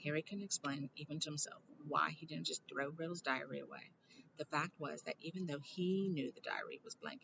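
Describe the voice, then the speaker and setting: A woman reading a children's novel aloud informally, with animated delivery and the odd stumble and aside. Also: deep voice, vocal fry, muffled recording, noisy background. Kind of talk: expressive amateur read-aloud with asides.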